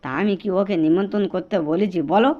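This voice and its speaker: Casting: male actor as flustered milk-seller husband, high voice, grumbles and repeats himself, cartoon comedy over prominent music. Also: quick pace, lo-fi sound, lightly acted. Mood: exasperated. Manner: exasperated, repeats phrases excitedly. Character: flustered, grumbling milkman husband in a village market. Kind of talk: Bengali comedy cartoon dialogue.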